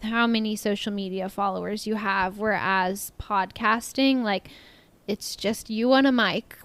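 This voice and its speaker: A young woman with a slight Texan twang, a podcast guest chatting casually, upbeat and quick to laugh, saying "like" often. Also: mid-range voice, dry recording. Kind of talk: casual interview chat.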